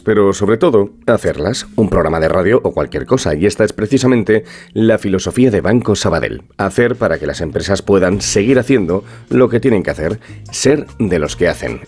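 A male radio presenter with an accent from Spain reads a scripted sponsor message. His voice sits low, over faint background music. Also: lively intonation, a little fast, a little mellow.

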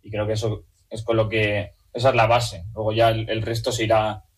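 A man's voice: lowish voice, monotone, moderate pace, poor audio. A young man from Madrid with a Spanish accent from Spain, answering casually as a player in a sports interview, restating his phrases. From Madrid region, Spain. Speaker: athlete, team player.

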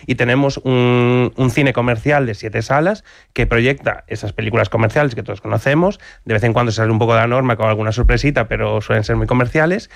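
A man from Galicia, a podcast guest, talking in fast, detailed explanations. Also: medium pitch, gravelly, no echo. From Galicia, Spain. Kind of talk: casual discussion.

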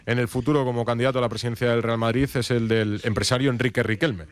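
A man with a Castilian Spanish accent giving a live sports news report in a measured, formal, explanatory way. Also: mid-range voice, fairly even intonation.

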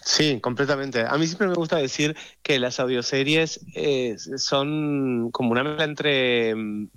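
A man's voice: medium pitch, a little slow, fairly bright tone, clean tone, dry recording. Argentine man, dull lo-fi sound. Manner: thoughtful, explanatory, often ending on a checking "¿no?". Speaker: audio series creator and director, interview guest. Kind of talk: conversational interview answers.

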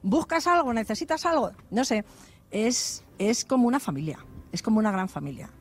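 A woman's voice: on the high side, very animated, normal speed, breathy edge. A woman, chatting with humor as an interview guest outdoors in a village. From Madrid, Spain. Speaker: interviewee, vocational teacher. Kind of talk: casual radio interview.

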